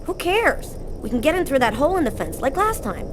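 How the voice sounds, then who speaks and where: A squeaky, daring kid. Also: female voice, sing-song, a little fast. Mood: daring, eager. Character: daring, mischievous, eager child. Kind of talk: video game acting. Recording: clear ambient rumbling noise behind.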